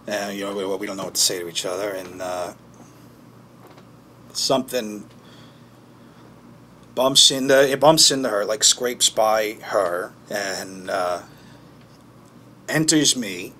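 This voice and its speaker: Man acting as haunted, bitter man, halting and low. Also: pushed and bright, slight background noise. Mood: agitated, haunted. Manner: halting, foul-mouthed, with pauses and emphatic bursts. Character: haunted, bitter man recounting childhood trauma for a documentary. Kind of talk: naturalistic dramatic monologue in interview form.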